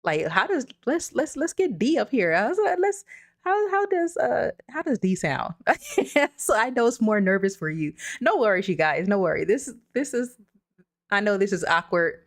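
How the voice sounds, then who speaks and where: A woman's voice: medium pitch, sing-song, moderate pace. A woman hosting a casual livestream, chatting loosely and reassuringly as she reads out viewers' comments. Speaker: livestream host. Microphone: streaming setup with computer mic.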